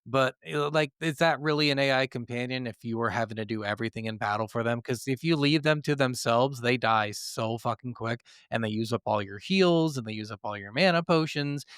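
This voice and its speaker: A man with a clean, smooth voice in a very dry recording, chatting casually as a podcast co-host. He is blunt, grumbles freely and swears often, at an average pitch. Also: fairly quick, hardly any pauses, a bit bright, no room sound. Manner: blunt, heavy swearing, complaining. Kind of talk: casual gaming discussion.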